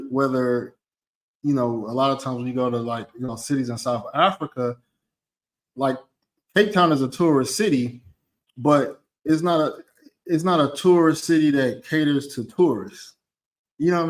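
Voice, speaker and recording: Male travel vlogger, casual and rambling, restarts his sentences a lot, mid-pitched, swears offhand.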